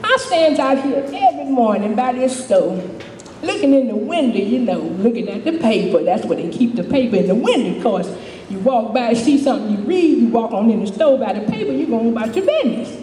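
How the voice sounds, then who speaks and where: Far-off echoey hall, woman acting as a chatty old homeless widow, high swoopy voice, folksy and rambling. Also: very animated, a little fast, warm tone, a little hiss or hum. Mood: bemused, gossipy. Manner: folksy, rambling, repeats "you know", chuckling. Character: chatty, good-humored older homeless widow, Miss Maylene. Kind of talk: dramatic monologue, storytelling to a live audience. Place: indoor stage performance, distant microphone.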